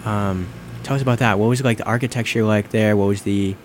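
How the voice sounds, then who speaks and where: A man's voice: on the low side, fairly even intonation, a little fast. A young man in casual conversation, leading the chat and asking questions. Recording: noisy room with air-conditioning hum.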